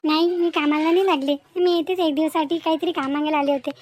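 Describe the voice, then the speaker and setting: Woman doing poor little schoolgirl, really high voice, talks fast, polite and pleading, cartoon moral story. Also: steady tone, darkish tone, natural voice. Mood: eager, earnest. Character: poor, proud, earnest schoolgirl begging for work. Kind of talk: animated moral story dialogue.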